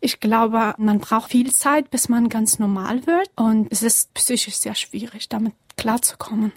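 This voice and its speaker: A young woman from Afghanistan answering interview questions earnestly in halting, German, with many pauses and fillers. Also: fairly high pitch, dry recording, clean studio sound.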